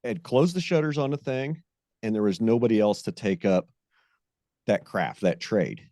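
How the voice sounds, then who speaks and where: Man, low mellow voice, dry close sound, casual rambling podcast host. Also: expressive, dry recording. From American. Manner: rambling, repeats and restarts phrases, drawn-out emphasis. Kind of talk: casual interview chat.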